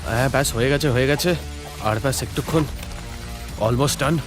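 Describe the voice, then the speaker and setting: An actor playing a concerned, questioning police colleague in a cartoon crime drama. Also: male voice, medium pitch, a little fast, close to an everyday voice. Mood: hurried. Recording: music under the voice.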